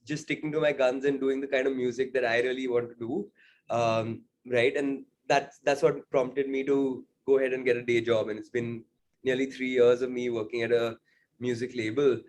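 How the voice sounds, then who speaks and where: A man's voice: medium pitch, level tone, normal speed, a little mellow. A young man from India, a singer-songwriter, answering interview questions thoughtfully, with frequent fillers and "right?" tags.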